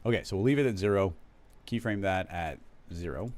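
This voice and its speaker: Guy with a really low voice rambling through a live software demo, fixing himself mid sentence. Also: fairly quick. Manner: rambling, self-correcting, chatty with viewers. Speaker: live stream host teaching 3D animation software. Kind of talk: casual live tutorial, thinking aloud.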